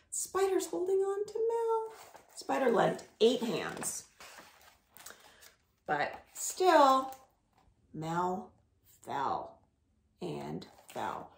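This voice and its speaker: A woman reading picture books aloud to young children at home, very expressively, with drawn-out words. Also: high-pitched, gravelly. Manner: expressive, with drawn-out words, sound effects and gasps. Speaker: children's storytime reader. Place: indoors at home. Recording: roomy, echoing home recording.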